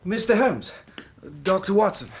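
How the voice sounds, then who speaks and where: An actor playing a prim, protective, anxious duke's secretary in a radio drama, fussy and formally polite, with a breathy Received Pronunciation English voice. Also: male voice, high-pitched, very animated, measured pace, full character voice. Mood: politely obstructive.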